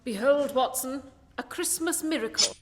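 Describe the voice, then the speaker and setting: A woman playing a composed male Victorian detective in a radio play, speaking in a measured, formal, dryly witty way. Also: high-pitched, very noisy, lightly acted. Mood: wry. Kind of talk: scripted radio play acting. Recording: reverberant hall, faint music behind.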